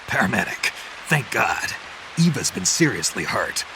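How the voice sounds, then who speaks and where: Deep, terse battle-worn soldier in rain. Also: male voice, sing-song, a little slow, gravelly, noisy background, heavily acted. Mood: urgent, worried. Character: battle-worn male soldier on a jungle mission. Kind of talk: dramatic video game voice acting.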